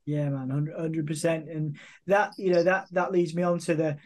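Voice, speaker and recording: A man's voice: fairly high pitch, flat delivery, darkish tone. A man from the United Kingdom hosting an interview, affirming his guest and often restarting his sentences. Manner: affirming, halting, many restarts. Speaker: interviewer host. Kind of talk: interview conversation.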